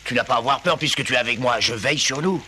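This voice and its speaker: A man's voice: medium pitch, rapid-fire, pushed and bright, slight background noise. A man playing a bossy, irritable and self-important bumbling herdsman in an exaggerated cartoon style, scolding as he talks. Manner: blustering, scolding, pompous. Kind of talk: exaggerated French cartoon dub acting.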